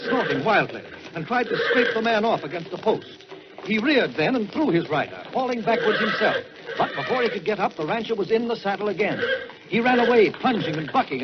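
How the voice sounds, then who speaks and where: A man telling a story in a dramatic 1940s radio style, theatrical and emphatic, with deliberate pauses for effect. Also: high voice. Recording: dull old-time radio recording with music.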